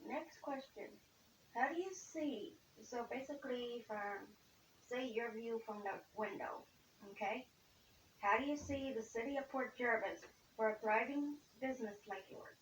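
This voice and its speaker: A woman's voice: fairly high pitch, measured pace. A woman working as a community liaison, asking interview questions in an informal way. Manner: informal, leans on "basically" and "okay". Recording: roomy echo, distant mic, faint hiss.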